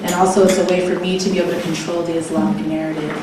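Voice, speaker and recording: A warm woman with a lowish voice introduces herself as a storyteller and greets the room with a smile in her words. Her voice rings around a very echoey hall, far from the mic, with steady noise behind. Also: racing. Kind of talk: self-introduction at a live event.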